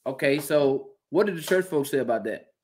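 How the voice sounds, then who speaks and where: A man's voice: fairly high pitch, animated, fairly quick, darkish tone, slight background noise. A man hosting a casual interview, teasing his guest and repeating his questions. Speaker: interviewer, host.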